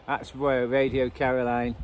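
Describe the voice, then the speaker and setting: A man's voice: medium pitch, monotone, normal speed. A man with a Northern English accent chatting casually and unscripted as a hobbyist vlogger, thinking aloud with hesitant, trailing phrases. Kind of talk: casual unscripted chat, demonstrating a radio band scan. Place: outdoors at edge of a churchyard. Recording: noisy outdoor recording with traffic ambience.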